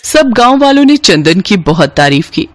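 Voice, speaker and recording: A woman's voice: mid-range voice, very animated, normal speed. A woman narrator reading a scripted moral story.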